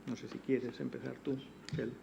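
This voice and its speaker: A man moderating a literary panel, asking measured, articulate questions. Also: mid-range voice, level tone, creaky, mellow, long reverb tail, lo-fi sound, slight background noise.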